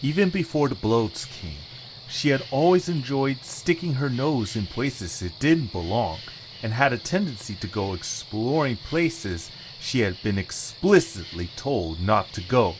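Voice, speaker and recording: A man's voice: medium pitch, expressive, unhurried, a little hiss or hum, poor audio. A man reading scripted story narration for an audio drama.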